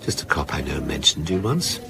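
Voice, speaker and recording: Very deep, gravelly, sly male author. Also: sing-song, a little fast, over music, full character voice. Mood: teasing, offhand. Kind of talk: naturalistic radio drama acting.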